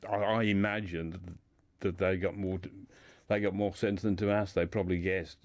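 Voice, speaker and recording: A man's voice: low voice, flat delivery, normal speed, no echo. A man speaking as a guest in a radio discussion, telling a life story informally and often repeating words as he builds his sentences.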